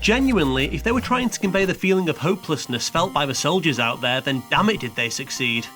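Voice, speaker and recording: A man narrates a scripted comic review with heavy sarcasm and swearing. His delivery swings widely in pitch for mock drama, with clear music running underneath him. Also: fairly bright tone, rough recording. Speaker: narrator of a video game countdown review. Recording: music playing behind the voice. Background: background music.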